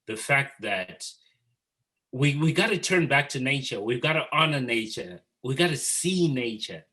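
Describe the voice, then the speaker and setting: A man's voice: mid-range voice, animated, measured pace, bright, forceful tone. A man hosting an informal group art discussion, speaking earnestly and affirmingly as he moderates. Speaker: host of an artist talk.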